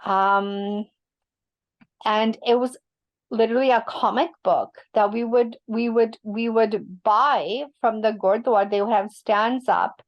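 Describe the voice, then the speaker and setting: Halting woman, around fifty, lo-fi call audio. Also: fairly high pitch, fairly even intonation. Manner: halting, searching for words. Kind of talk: online panel discussion. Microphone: video call. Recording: dull lo-fi audio.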